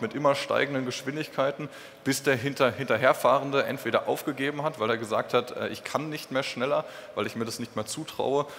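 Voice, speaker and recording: Young man presenting in an echoey hall. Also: mid-range voice. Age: young adult. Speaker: conference speaker presenting technical work. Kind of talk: technical conference presentation. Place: stage in a concrete-walled event hall. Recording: echoey hall with clear background noise.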